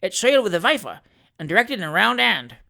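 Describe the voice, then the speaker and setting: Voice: mid-range voice, big pitch swings, quick pace, vocal fry, sharp tone, voice pushed up, exaggerated voice. Figure: young manservant, voiced by a reader. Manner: colloquial, matter-of-fact. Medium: storybook audiobook. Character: plain-spoken young manservant.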